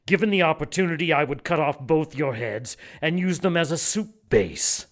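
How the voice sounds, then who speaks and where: An actor playing a menacing, pompous leader of a religious order in an audio drama, speaking formally and gloatingly. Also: male voice, fairly high pitch, normal speed, close to an everyday voice. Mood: stern, threatening.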